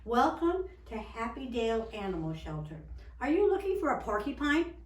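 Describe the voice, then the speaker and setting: A woman's voice: fairly high pitch, very animated, moderate pace, reverberant, distant mic, voice pushed up. A woman voicing an eager, pushy man who runs an animal shelter, coaxing like a salesman in a storybook reading. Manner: coaxing, exclamatory sales patter.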